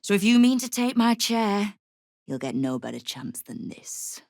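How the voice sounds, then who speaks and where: A woman's voice: medium pitch, very animated, measured pace, vocal fry, a little mellow, no room sound, studio quality. An actress playing a shrewd, tough female crime boss in a video game, speaking with wry, controlled menace. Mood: steely, challenging. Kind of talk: video game character dialogue.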